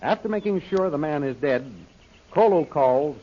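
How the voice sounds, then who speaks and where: A man's voice: fairly high pitch, lively intonation, normal speed. A man narrating an old-time radio adventure serial in a dramatic, scripted style. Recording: dull, faintly noisy old radio recording.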